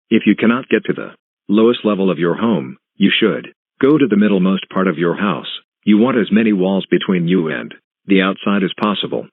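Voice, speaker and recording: A low-voiced man reads a scripted emergency alert. His delivery is formal and stilted. The sound is very dry and narrow, like a phone line. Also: dry recording. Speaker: announcer of news and emergency alerts. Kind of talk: scripted emergency broadcast announcement.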